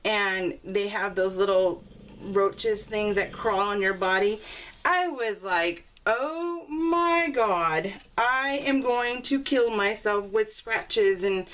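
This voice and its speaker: A woman chatting casually and rambling as a craft video host, giggly and self-deprecating, telling stories with animated exclamations. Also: mid-range voice, slow pace. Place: at home, voice recorded live while crafting. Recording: noisy home recording with clicks.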